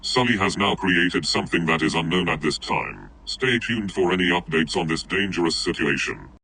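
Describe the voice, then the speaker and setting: A man's voice: low-pitched, animated, moderate pace, gravelly, bright, forceful tone, slightly rough, poor audio. A man reading scripted emergency alerts as an announcer.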